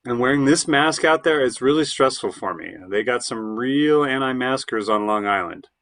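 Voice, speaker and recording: A man's voice: mid-range voice, normal speed, fairly bright tone, natural voice. Man acting a flustered, defensive guy in his thirties. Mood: defensive, harried. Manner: hesitant, stammering, defensive. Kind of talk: audio play dialogue.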